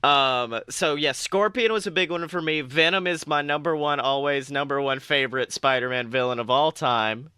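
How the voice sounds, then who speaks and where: A man's voice: fairly high pitch, normal speed, few pauses, pushed and bright, clear, resonant tone, no echo, studio quality. A man co-hosting a casual pop culture podcast, talking emphatically with strong opinions and free swearing. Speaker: podcast co-host. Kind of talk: casual podcast banter about films and comics.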